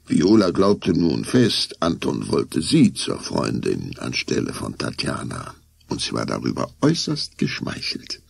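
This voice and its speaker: A man's voice: deep voice, gravelly, breathy edge, full character voice. A man narrating a scripted children's radio play with suspenseful storytelling.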